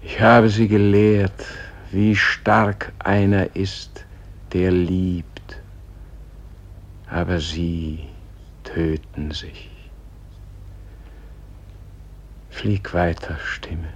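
Gentle old man in a radio play, deep-ish voice, really slow, solemn, lyrical, trails off hushed. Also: level tone, slight background noise, heavily acted. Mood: troubled, sorrowful. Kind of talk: German radio play acting, solemn and poetic.

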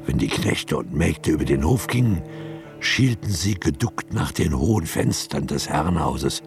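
A man narrating a scripted radio drama as a measured storyteller. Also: very deep voice, expressive, vocal fry, music in the background.

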